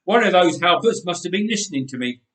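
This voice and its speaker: A man's voice: high-pitched, lively intonation, quick pace, a bit bright. Man doing a whiny cheeky young boy. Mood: eager. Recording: roomy church echo.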